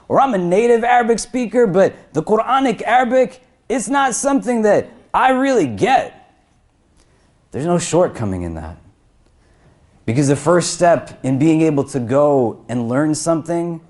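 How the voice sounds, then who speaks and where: A man who is an imam, giving a sermon to a crowded prayer hall. He builds through lists and questions, rising in pitch and loudness, then drops low to land each point. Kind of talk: Friday sermon.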